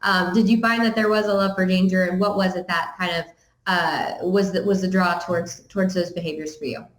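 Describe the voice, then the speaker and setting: A woman's voice: lowish voice, steady tone, brisk. Chatty woman host in echoey room. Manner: friendly, chatty, uses fillers. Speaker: chamber of commerce host. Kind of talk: hosting a live stream. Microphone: video call webcam.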